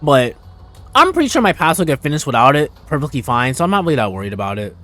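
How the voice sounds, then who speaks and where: A man's voice: fairly high pitch, very animated, quick pace, pushed and bright. A man doing casual, slangy live game commentary, playful and prone to rambling. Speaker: game streamer. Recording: live stream with faint game music.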